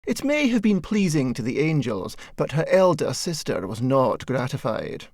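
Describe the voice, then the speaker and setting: Male audiobook narrator, wry, measured storytelling, lively varied intonation, dry studio-like sound. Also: medium pitch, no echo. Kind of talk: scripted narration of a satirical short story.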